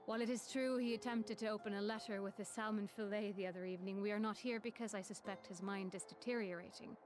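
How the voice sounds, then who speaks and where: An actress playing a composed, formal woman in a game cutscene, measured in speech and quietly caring. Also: medium pitch, fairly even intonation, lightly acted. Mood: reserved, earnest. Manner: measured, formal, archaic wording. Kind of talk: dramatic video game cutscene acting. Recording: music behind the voice.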